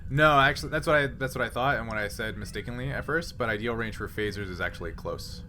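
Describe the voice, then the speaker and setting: Bright-voiced man running a tabletop game. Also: mid-range voice, fast talker, a little hiss or hum. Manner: informal, conversational. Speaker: game master. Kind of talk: improvised narration and rulings in a tabletop sci-fi game.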